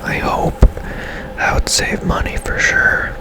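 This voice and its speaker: Slow, whispering ASMR man. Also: low-quality recording. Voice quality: breathy, unvoiced whisper. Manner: unhurried, hushed, casual musing. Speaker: game streamer, host. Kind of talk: whispered ASMR gameplay commentary.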